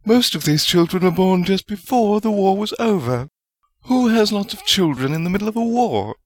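Man voicing a tart, high-pitched scolding witch. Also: sing-song, moderate pace, no room sound. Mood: tart, chiding. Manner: chiding, sardonic. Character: tart, dry, scolding female witch spirit.

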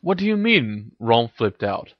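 A man's voice: mid-range voice, very animated, unhurried, no echo, lo-fi sound. A man voicing an awkward, earnest teenage boy wizard in a story reading, tentative in delivery. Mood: puzzled. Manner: tentative, slightly flustered.

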